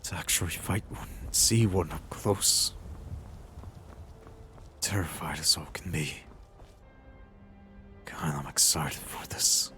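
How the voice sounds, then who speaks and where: A man playing a nervous, excitable fantasy warrior in a video game roleplay, muttering to himself and calling out. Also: rumbling low, slow, long pauses, whispered, soft background music, exaggerated voice. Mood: hushed, nervous excitement. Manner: hushed muttering to himself, breaking into shouts. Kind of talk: in-character game roleplay commentary.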